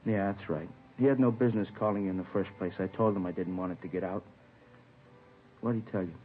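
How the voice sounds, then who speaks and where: A man's voice: low voice, fast, faint music behind, lightly acted. An actor playing an irritable middle-aged businessman, curt and evasive, in a 1950s radio drama. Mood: impatient. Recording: noisy narrow-band vintage radio recording.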